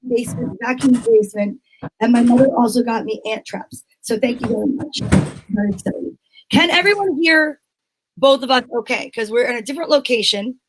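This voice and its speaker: A woman's voice: a little high, a little fast, noisy background. A woman hosting a homemade show in casual, chatty style. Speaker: host. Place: home basement studio. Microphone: studio microphone.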